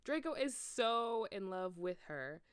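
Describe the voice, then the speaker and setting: A woman's voice: mid-range voice, very animated, slow, clear, resonant tone, no echo, clean studio sound. A woman voicing a defensive, petulant teenage girl author, stretching her words with heavy emphasis.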